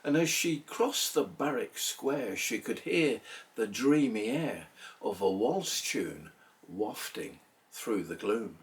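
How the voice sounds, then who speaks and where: A man recites a narrative poem in a theatrical style, recorded a little way off from the microphone. He takes his time, leaving pauses between phrases. His middle-pitched voice rises and falls widely as he goes. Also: expressive, recorded from a distance. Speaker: poetry reader.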